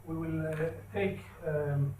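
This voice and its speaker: Soft-voiced man lecturing, halting. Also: a little high, steady tone, slight background noise, poor audio. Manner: halting, many fillers and restarts. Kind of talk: technical seminar talk. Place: seminar room.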